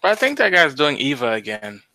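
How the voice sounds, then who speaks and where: A man's voice: medium pitch, huge pitch swings, brisk, creaky, pushed and bright, no echo, poor audio. An American man co-hosting a podcast, explaining his points in casual, slangy talk with some swearing. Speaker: podcast co-host. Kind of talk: casual discussion of movies.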